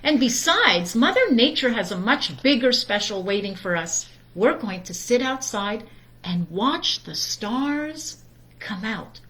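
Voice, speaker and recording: A woman's voice: on the high side, very animated, takes it slowly, sharp tone, exaggerated voice, poor audio. A woman voicing a firm, patient mother bear in a storybook.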